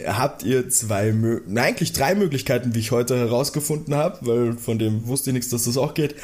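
A man's voice: medium pitch, a little mellow. Male podcast co-host, Austrian accent. Mid-range voice, joking and informal, retells stories conversationally. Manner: colloquial, joking, teasing. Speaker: podcast co-host and episode storyteller. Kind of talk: casual podcast chat and retelling.

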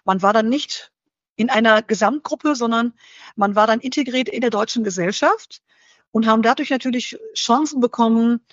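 A woman answering interview questions thoughtfully, in a measured way that often pauses to search for the right word. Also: fairly high pitch, dry recording. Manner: measured, searching for words, frequent fillers. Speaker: interview guest. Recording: slightly dull dry recording.

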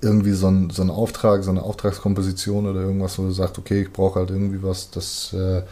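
A man's voice: sits low, monotone, quick pace, warm tone. Man chatting casually, searching for words. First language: German. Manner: hesitant, searching for words, colloquial. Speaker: musician, rapper. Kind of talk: casual conversation.

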